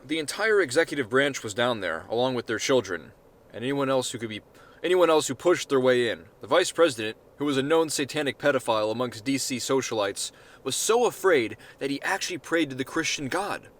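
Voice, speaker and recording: A man's voice: medium pitch, lively intonation, brisk, a bit bright. Man reading his own story, mid-range voice. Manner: casual, profane asides. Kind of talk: informal story narration.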